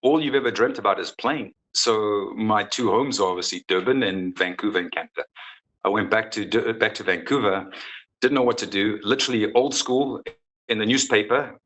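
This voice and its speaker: Guy coach talking in an interview, lowish bright voice, repeats himself for emphasis. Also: normal speed, rough recording. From South Africa. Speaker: football coach, interview guest. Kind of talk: casual sports interview chat.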